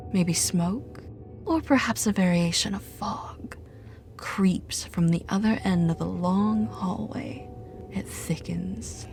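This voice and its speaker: A woman narrator reads horror fiction slowly over music. Her voice sits a little low, and her delivery is measured and dramatic. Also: a little mellow. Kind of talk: scripted horror story narration.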